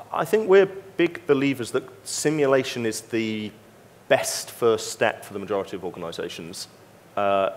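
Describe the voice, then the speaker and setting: Low-voiced man on a reverberant stage. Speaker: consultant on a conference panel. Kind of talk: unscripted panel discussion. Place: on stage in a large conference hall. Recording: reverberant conference hall.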